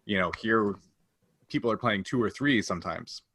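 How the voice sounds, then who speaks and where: A man's voice: on the low side, moderate pace, fairly bright tone, breathy edge, no room sound. A man talking casually as a podcast host and game master, explaining things in an informal way.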